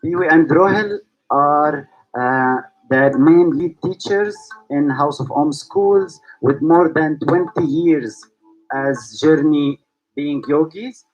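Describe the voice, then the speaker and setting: A man's voice: a little high, takes it slowly, muffled recording, soft background music. A man from Syria, founder and host of a yoga school, speaking to online viewers and asking repeated rhetorical questions. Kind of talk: reflective talk to online viewers.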